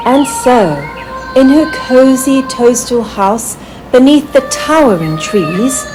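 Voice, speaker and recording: A woman reading a children's story aloud in an expressive, deliberate storytelling manner. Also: mid-range voice, poor audio. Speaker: author reading own story. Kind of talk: scripted children's storybook narration.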